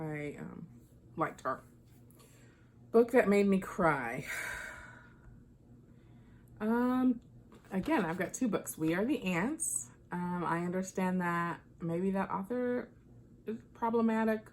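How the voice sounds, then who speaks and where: A woman's voice: sits low, gravelly, a little mellow, slight background noise. A woman giving an informal, conversational book review, thinking aloud as she goes. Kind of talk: casual book chat vlog.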